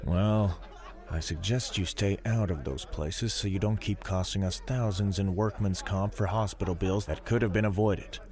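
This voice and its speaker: A man playing a stern, dryly sarcastic police lieutenant in an audio drama, speaking with measured authority. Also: deep voice, darkish tone, lightly acted. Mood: dry, sardonic.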